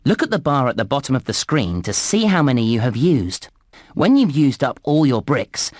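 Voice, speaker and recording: A man voicing a chirpy, helpful theme park guide in a children's video game, giving scripted instructions clearly and deliberately. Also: medium pitch, no room sound, studio quality, close to an everyday voice. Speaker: video game tutorial narrator.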